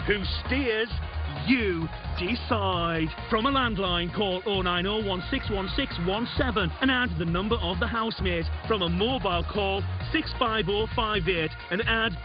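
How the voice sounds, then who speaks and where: A man's voice: high-pitched, unhurried. A man delivering scripted voice-over narration for a reality show. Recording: dull TV audio, music behind.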